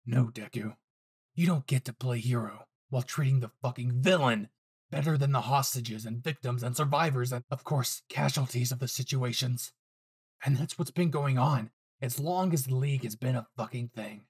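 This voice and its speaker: A man's voice: medium pitch, normal speed, breathy edge. A man voicing a furious, foul-mouthed young male hero in an anime fan audio drama, aggressive and ruthlessly protective. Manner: aggressive, profane, defiant.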